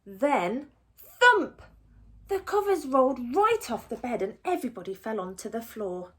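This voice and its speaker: Female storyteller for young children, high-pitched and expressive, reading a picture book with deliberate care. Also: slightly rough. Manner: animated, deliberate, with asides to the listeners.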